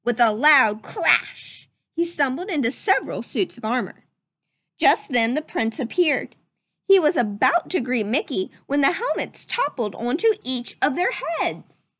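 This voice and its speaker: A woman reading a children's story aloud as narrator, with expressive, deliberate story-time delivery. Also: sits high. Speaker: storybook reader.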